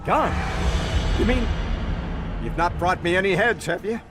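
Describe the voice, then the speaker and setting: A man's voice: high-pitched, expressive, a little slow, music in the background. A man playing an officious, grudging city guard in a video game, with a pompous, theatrical delivery. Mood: haggling, smug. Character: officious, grudging, self-important male city gate guard. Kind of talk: video game dialogue acting.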